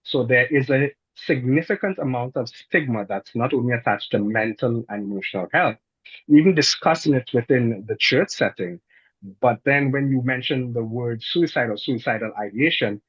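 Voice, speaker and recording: A man's voice: medium pitch, husky, no echo. A man with a Caribbean accent moderating a discussion in a careful, formal, wordy way over muffled online call audio. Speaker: panel moderator.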